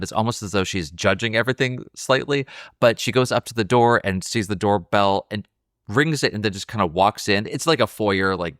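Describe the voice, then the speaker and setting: A man's voice: fairly low pitch, fairly bright tone, no echo, clean studio sound. A man hosting a podcast, talking casually and enthusiastically about film as he thinks aloud. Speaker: podcast host.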